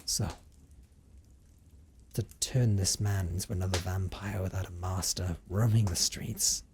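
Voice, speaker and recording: A man's voice: low-pitched, fairly even intonation, noisy background. A man playing a brooding, possessive vampire recluse in an audio roleplay monologue, with formal, theatrical phrasing and a teasing streak. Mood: somber confession. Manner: formal, theatrical, deliberate phrasing with condescending endearments. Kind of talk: dramatic first-person audio roleplay monologue.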